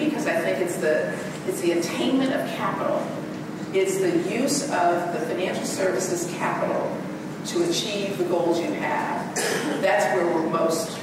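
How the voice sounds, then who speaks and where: A middle-aged woman, a bank executive as guest speaker, giving direct, earnest advice and answering audience questions. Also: on the low side. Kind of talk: fireside chat talk and Q&A answers. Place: event hall with audience. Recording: echoey hall from far off, background noise.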